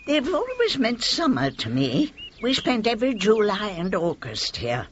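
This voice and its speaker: A woman's voice: mid-range voice, wildly swooping pitch, a little slow, a little hiss or hum. An actress playing a genteel, wistful elderly British mother in a radio play. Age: older. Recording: dull, muffled radio drama recording.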